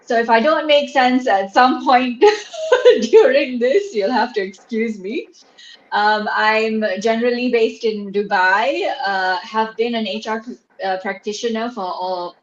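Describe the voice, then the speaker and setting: A woman's voice: a little high, measured pace. A woman from Malaysia talks in a friendly, giggly manner as a panelist. Her voice rings in a roomy space, and the call audio is dull and lo-fi. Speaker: HR practitioner, panelist.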